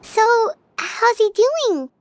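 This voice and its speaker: Very high, squeaky little fairy. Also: female voice, very animated, very slow, no room sound, exaggerated voice. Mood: curious, concerned. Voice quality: squeaky, childlike. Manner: inquisitive, cute. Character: tiny, childlike floating fairy companion, curious and earnest. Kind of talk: scripted video game dialogue. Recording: game music under the voice.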